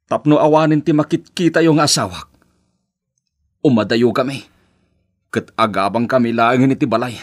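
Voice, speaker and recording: A male actor plays a devoted family man in an Ilocano radio drama. He is a grown son in distress, earnest and emotional, with a mid-pitched voice and an Ilocano accent. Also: normal speed, pauses often, lightly acted. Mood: hurt, reproachful. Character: distressed adult son, devoted husband and father, Lito.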